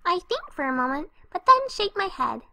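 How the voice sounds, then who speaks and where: A hyperactive young female cartoon pony, extremely high and very animated. She chatters cheerfully and breezily to the listener, scatterbrained and party-loving, in a dry, close recording. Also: normal speed, no echo, exaggerated voice. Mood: excited, chatty. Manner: bubbly, chatty, breezy narrating straight to the listener. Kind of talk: fan fiction audio reading in cartoon character.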